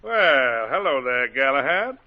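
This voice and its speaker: An actor in a 1940s radio musical playing a jovial older gentleman who greets and teases a young man in a breezy way. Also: medium pitch, very animated, measured pace. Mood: hearty. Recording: dull lo-fi 1940s radio broadcast.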